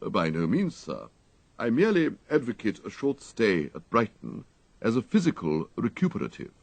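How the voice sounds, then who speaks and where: A man's voice: fairly low pitch, huge pitch swings, moderate pace, gravelly, a little mellow, dry recording, pitched above the performer's own voice, exaggerated voice. A man playing a deferential, unruffled English valet who speaks formally and tactfully in an audiobook story. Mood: discreet, soothing.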